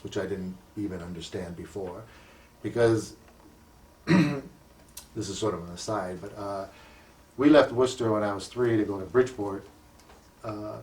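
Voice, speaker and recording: Older guy, low voice, kinda far from the mic, slow thoughtful storytelling with lots of ums. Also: darkish tone. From United States. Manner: thoughtful, with frequent ums and self-corrections. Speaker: community association president. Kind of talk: recalled life story in an interview.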